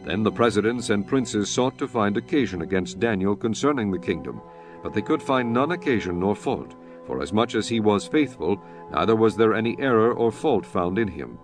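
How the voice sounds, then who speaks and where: A man's voice: on the low side, lively intonation, moderate pace, music in the background. A man giving solemn, formal scripted narration for a dramatized Bible.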